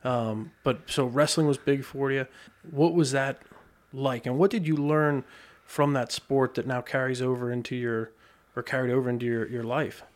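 American male podcast host, slightly lower voice. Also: steady tone, moderate pace. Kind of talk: casual interview. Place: podcast studio.

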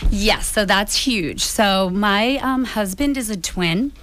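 A woman with an American accent and a slightly raspy voice, chatting casually as an interview guest. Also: medium pitch, takes it slowly, fairly bright tone, a little hiss or hum. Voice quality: a little raspy. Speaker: guest, small business founder.

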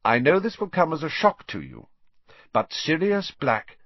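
A storybook professor, stern and concerned , acted by a man. The voice is raised high with swooping intonation, formal and firm in manner. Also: very animated, moderate pace, slightly rough, no room sound, muffled recording. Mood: grave, serious.

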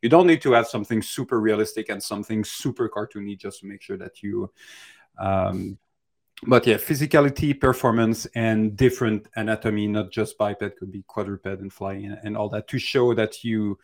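Low-pitched man, halting advice. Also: creaky. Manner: hesitant, halting, thinking aloud. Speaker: animation mentor giving advice. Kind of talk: unscripted Q&A advice.

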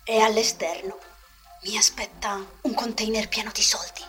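A woman playing a cynical, scheming inmate of about forty, speaking in a low-key, conspiratorial and coarse way. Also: steady tone, normal speed, sharp tone, natural voice. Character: cynical, scheming forty-year-old female prison inmate. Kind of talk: Italian amateur fan dubbing of prison drama. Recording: homemade lo-fi desk microphone, music behind.

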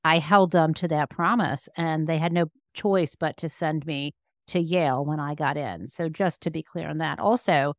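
A woman in her fifties with an American accent and a fairly low voice. She talks in an articulate, reflective way, telling stories about herself in a conversational interview. The sound is very dry, with no room echo. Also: normal speed. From Michigan, United States. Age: 50s. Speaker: interview guest, law professor and author. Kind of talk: conversational personal storytelling in an interview.

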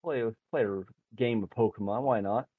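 A man guesting on a podcast over an internet call, soft-spoken and hesitant, often laughing. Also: mid-range voice, moderate pace, slightly rough, dry recording. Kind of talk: casual chat. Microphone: headset over internet call. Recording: dry, dull lo-fi internet call audio.

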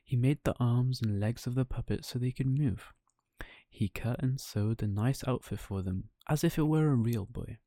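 Mellow male bedtime storyteller. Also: medium pitch, moderate pace, dry recording, clean studio sound. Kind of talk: bedtime story narration for children.

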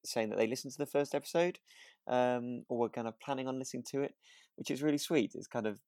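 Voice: medium pitch, fast, darkish tone, dry recording, studio quality. A podcast co-host chatting casually in a musing, slightly hesitant way. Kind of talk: relaxed conversational podcast chat.

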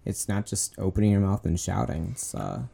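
A man's voice: low voice, very animated, brisk, vocal fry, mellow, slightly rough. A man co-hosting a podcast, chatting agreeably with many fillers. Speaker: podcast co-host. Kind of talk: casual chat.

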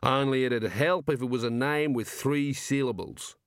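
A man's voice: medium pitch, moderate pace, no echo, clean studio sound, voice pushed up, lightly acted. A man voicing a nosy, obliging amateur ballad writer in a comic audiobook, colloquial. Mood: eager, placating. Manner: colloquial, eager.

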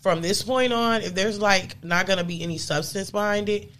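A woman in her thirties, a podcast guest, talking casually and backing points with quick emphatic agreement. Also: medium pitch, fairly bright tone. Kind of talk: casual chat.

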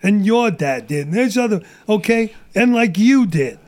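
A man's voice: high-pitched, expressive, normal speed, darkish tone. A middle-aged man ranting bluntly and profanely in a casual podcast chat, losing his voice. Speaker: guest.

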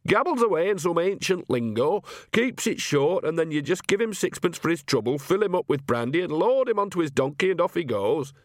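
A man's voice: fairly high pitch, expressive, a little fast, few pauses, no room sound, studio quality, voice pushed up, natural voice. A man voicing an earthy, shrewd old woman witch with a blunt, folksy, teasing manner in an audiobook. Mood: easy, knowing.

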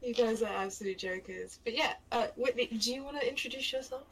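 A woman's voice: on the high side, fairly quick, fairly bright tone, a little hiss or hum, rough recording. Young woman, London accent, stream co-host, casual chat, rambling, laughs a lot. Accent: London English. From England. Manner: rambling, laughs often, self-mocking.

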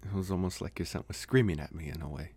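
A man playing a lonely, gentle young college vampire in an intimate ASMR roleplay, speaking softly and haltingly with pauses. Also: low voice. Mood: reflective, hesitant. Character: lonely, soft-spoken young male college vampire, politely devoted. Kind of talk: ASMR roleplay, intimate one-sided dialogue.

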